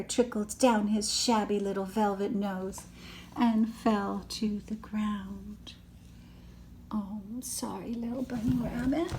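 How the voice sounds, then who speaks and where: Slow-paced older woman reading to children. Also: medium pitch, slight background noise. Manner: child-directed, dramatic emphasis, gasps and hushed asides. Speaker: grandmother reading a storybook. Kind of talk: expressive children's read-aloud with chatty asides.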